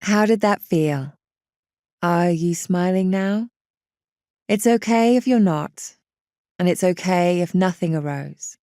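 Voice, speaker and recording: A woman guiding a meditation in a gentle, reassuring, unhurried manner. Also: fairly low pitch, dry recording, clean studio sound. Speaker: meditation guide. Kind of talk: guided meditation narration.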